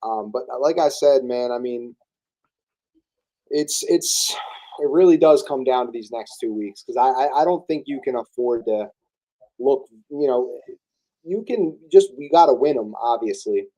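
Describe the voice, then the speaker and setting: A young man with a very dark, mellow voice co-hosts a sports podcast. He talks loosely and casually, trips over fillers and restarts his sentences as he works through his points. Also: mid-range voice, a little slow, poor audio. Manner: hesitant, many restarts and fillers. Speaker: podcast co-host.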